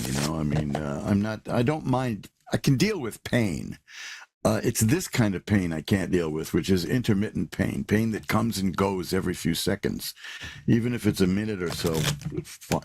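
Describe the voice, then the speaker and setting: An older man with a raspy voice hosting a live radio show, rambling in a casual monologue with wry asides and repeated words. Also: sits low, animated, normal speed, darkish tone, slight background noise. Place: New York.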